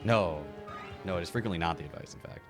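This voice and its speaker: A man's voice: low-pitched, quick pace, soft background music. A man hosting a game livestream, chatting casually into a streaming mic. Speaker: livestream host.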